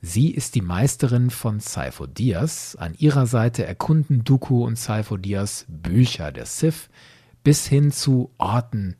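A man's voice: medium pitch, expressive, measured pace, warm tone, slightly rough, no room sound, clean studio sound. A man hosting a podcast, giving structured, explanatory commentary in a prepared narration style. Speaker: podcast host.

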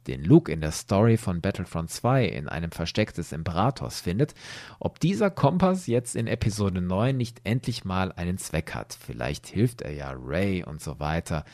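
A man's voice: low voice, darkish tone, no room sound, clean studio sound. A man hosting a podcast, narrating and explaining in an emphatic storytelling manner with casual asides.

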